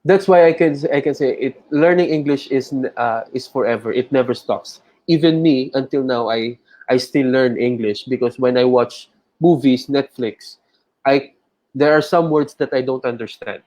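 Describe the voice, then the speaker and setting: A man's voice: medium pitch, moderate pace, a little mellow. A man with a Filipino accent, an English trainer, speaking frankly in a casual chat and often correcting himself mid-word. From Philippines. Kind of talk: casual conversation.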